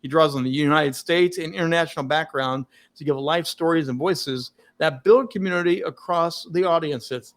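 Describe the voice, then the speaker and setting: A man's voice: on the high side, moderate pace, few pauses, fairly bright tone. A man hosting a live-streamed talk show, speaking in an upbeat, emphatic, promotional manner over a video call. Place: home studio, Washington DC.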